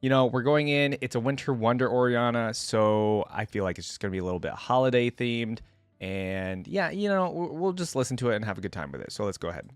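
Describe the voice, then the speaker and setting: A man's voice: medium pitch, animated, a little fast, dry recording. A man giving casual, easygoing reaction commentary as a video host, rambling and thinking aloud.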